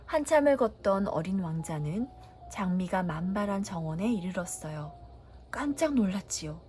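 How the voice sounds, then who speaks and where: A woman reading a children's storybook aloud as a bedtime story, narrating in a gentle, unhurried way. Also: medium pitch, lively intonation, a little hiss or hum. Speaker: storybook reader.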